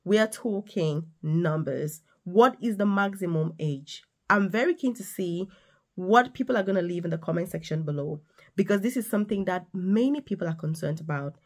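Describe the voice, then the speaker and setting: A woman in her thirties, a nurse and career coach, talking straight to the viewer in an animated, emphatic casual vlog from a small room. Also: lowish voice, normal speed. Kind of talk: casual direct-to-camera vlog. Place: small indoor room with decorated wall.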